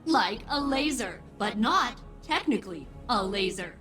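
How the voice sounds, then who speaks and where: A high-pitched, matter-of-fact woman technician in a video game. She explains with lively intonation over faint music. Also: a little slow. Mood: pointed, explanatory.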